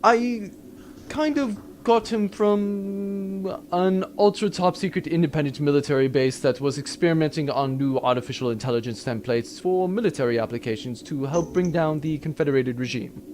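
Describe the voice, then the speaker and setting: A man's voice: a little high, moderate pace, slight background noise, lightly acted. A man playing a flippant, sheepish starship captain with an offhand manner in a comedic audio drama. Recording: loud music under the voice.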